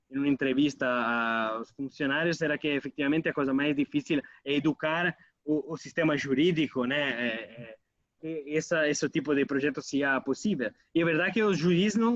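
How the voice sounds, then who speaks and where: A man's voice: a little high, brisk, dry recording, lo-fi sound. A man from Italy, a guest on a radio interview who talks hesitantly with many fillers and restarts. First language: Italian.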